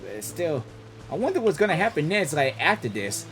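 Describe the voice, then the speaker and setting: A woman giving casual live reaction commentary as a gaming streamer, halting and slangy, often repeating herself. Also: low voice, sing-song, slight background noise.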